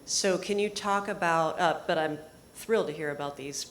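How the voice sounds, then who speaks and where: Low-voiced woman asking from the audience. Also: moderate pace, slight background noise. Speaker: audience member asking a question.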